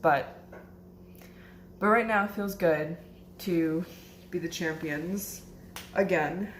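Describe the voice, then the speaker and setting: A young woman talks through her week in a chatty, upbeat vlog, a little hoarse and fond of fillers. Her voice sits fairly low. It rings in an echoey home kitchen with faint background noise. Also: takes it slowly. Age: 20s. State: slightly hoarse. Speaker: law student vlogger. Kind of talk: casual vlog chat.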